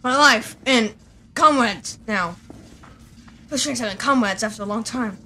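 A naive, muddled soldier voiced by a woman mutters haltingly to himself in an improvised comic game dub. The voice is high-pitched. The recording has clear background noise. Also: pushed and bright. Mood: rambling, determined. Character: shaggy-haired male soldier, naive and muddled. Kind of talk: improvised comedy fan dub of a video game.

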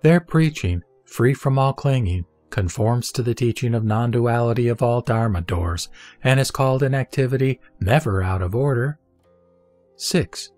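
A man reading Buddhist scripture as scripted narration, in measured, deliberate short phrases. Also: medium pitch, darkish tone, dry recording. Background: background music.

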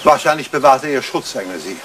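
An older man playing a devoted elderly butler in a German radio play, polite and formal with quiet, dry impertinence. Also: medium pitch, animated, brisk, slight background noise, close to an everyday voice. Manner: polite, formal, dryly disapproving.

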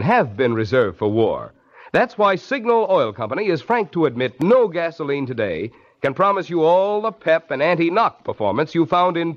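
An American male announcer sells hard in a polished 1940s radio style. His highish voice swoops widely with emphasis. The old recording sounds dull. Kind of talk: 1940s radio advertising pitch.

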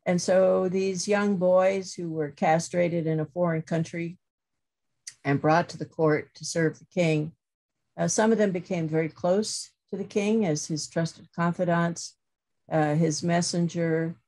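A middle-aged woman professor giving a thoughtful webinar talk over a video call, pausing with many fillers and restarts. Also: lowish voice, steady tone, a little mellow. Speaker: guest lecturer, professor.

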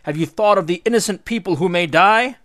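A man playing an old father god in a storybook myth, questioning and admonishing in a measured way. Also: high-pitched, a bit bright, no echo, clean studio sound, voice pushed up. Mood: grave warning. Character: dignified old father god, concerned and reproving.

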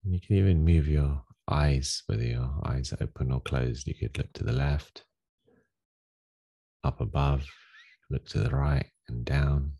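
Very deep, gravelly male meditation guide. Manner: gentle, unhurried instructions with long pauses. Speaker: meditation teacher leading a daily group session.

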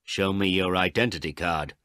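A man's voice: low voice, fairly even intonation, moderate pace, pushed and bright, dry recording, studio quality. A man voicing a stern policeman who gives curt orders in a storybook reading. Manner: curt, commanding.

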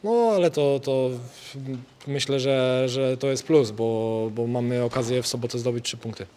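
A young man, a football player, answering post-match interview questions hesitantly, repeating words and filling pauses. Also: medium pitch, warm tone, slight background noise. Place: stadium interview area before sponsor wall. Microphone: handheld reporter mic.

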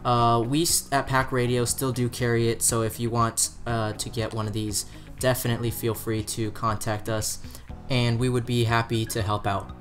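A man's voice: medium pitch, little pitch movement, normal speed. A man with an American accent presenting a scripted product review as a shop's presenter. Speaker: presenter from a two-way radio shop. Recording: music behind the voice. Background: guitar music.

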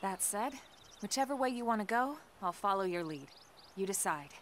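A woman's voice: mid-range voice, lively intonation, normal speed, fairly bright tone, a little hiss or hum, lightly acted. An actress playing a cool, confident young female soldier in a video game, speaking in a composed, conversational way. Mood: calm, guiding. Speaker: video game character voice actor. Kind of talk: scripted video game cutscene acting. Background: background music.

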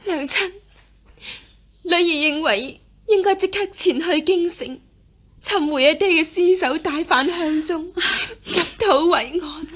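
An actress playing a devoted, resolute young daughter, earnest and formal, in a Cantonese radio costume drama. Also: female voice, very high-pitched, a little slow, fairly bright tone.

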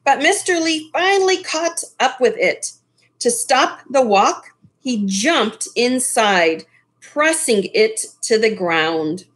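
A middle-aged woman reads a children's story aloud. Her voice sits a little high and her pace is slow. She pauses for casual comments to young listeners. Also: lively intonation, a bit bright. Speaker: storyteller reading a picture book aloud. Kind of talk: expressive children's read-aloud with casual asides. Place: small furnished room at home, indoors. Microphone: webcam at desk distance.

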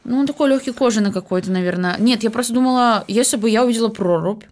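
A woman's voice: a little high, quick pace, darkish tone. A young woman giving casual, chatty commentary as co-host of a reaction video. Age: young adult.

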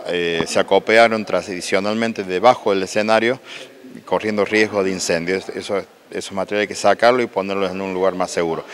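A man in his forties with an Argentine accent, interviewed indoors on a handheld mic, explaining plans with many hesitant fillers. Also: on the low side. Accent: Argentine Spanish. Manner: halting, many "eh" fillers and restarts. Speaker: municipal official interviewed. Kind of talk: local TV interview. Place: indoor event hall. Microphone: handheld reporter mic.

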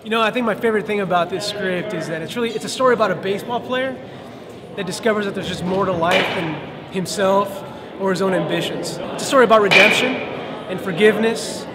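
Male actor, 30s, interview setting, fast high voice, busy indoor crowd. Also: heavy background noise. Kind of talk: casual on-camera interview. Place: large indoor batting-cage facility. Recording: loud crowd chatter behind.

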